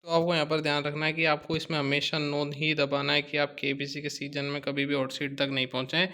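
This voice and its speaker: A man's voice: fairly high pitch, monotone, a little fast, bright, forceful tone. A man giving an explanatory tutorial as a video host, in a repetitive, instructional manner. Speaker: YouTube host.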